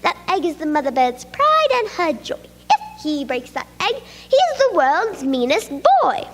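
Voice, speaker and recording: A woman voicing a tender-hearted female worm who shouts back at her husband. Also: very high-pitched, huge pitch swings, a little slow, long reverb tail, full character voice. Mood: indignant.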